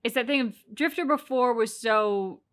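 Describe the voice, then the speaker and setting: A woman co-hosting a game reaction video, talking in an animated, rambling way with many restarts. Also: fairly high pitch, normal speed. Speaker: co-host of a game reaction channel. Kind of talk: casual reaction chat.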